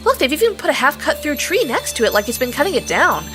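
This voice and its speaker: Young farm girl, high lively voice, sarcastic, plucky, blunt, audio drama. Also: fast, over music. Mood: wry. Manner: wry, exasperated, blunt.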